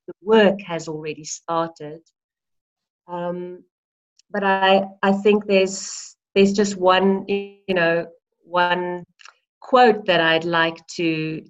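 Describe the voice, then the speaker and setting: A middle-aged South African woman, a native Afrikaans speaker, talks in an interview with earnest enthusiasm, often restarting her words. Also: on the low side. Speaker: interviewee, early childhood movement practitioner. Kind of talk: heartfelt conversational interview. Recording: slightly dull lo-fi audio.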